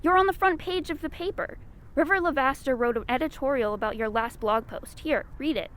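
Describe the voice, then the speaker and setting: Woman playing very high-voiced chipper care worker. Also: fairly quick, a bit bright. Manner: breezy, matter-of-fact. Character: chipper, unflappable, quirky nursing home worker. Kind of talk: audio drama acting.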